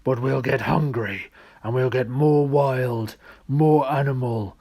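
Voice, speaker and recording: A huge, gruff bear god from a storybook, acted out by a male reader. The voice is a booming, throaty growl. He speaks emphatically, with grave indignation. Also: takes it slowly, mellow, full character voice.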